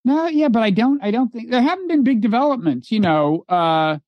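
A man's voice: very high voice, lively intonation, normal speed, no room sound. A man in his sixties with a nasal, slightly whiny voice, co-hosting a casual political chat and trading dry, teasing banter. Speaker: podcast co-host. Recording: dull lo-fi remote audio.

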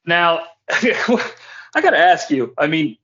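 A man hosting a podcast in casual, jokey chat with animated reactions. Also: fairly high pitch, takes it slowly. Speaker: podcast host. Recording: dull lo-fi podcast audio.

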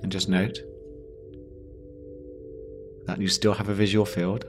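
A man guiding a yoga nidra meditation, giving gentle instructions slowly with long pauses. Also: low-pitched, level tone, music in the background. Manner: slow, pausing, gentle instruction. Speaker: meditation guide.

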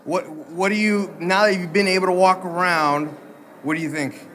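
A chatty man hosts a podcast interview live from a busy convention floor, with a slightly high voice. He talks in an easygoing way and laughs often. Faint crowd noise sits behind him. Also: steady tone, normal speed, fairly bright tone. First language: English. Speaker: podcast host. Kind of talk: casual interview.

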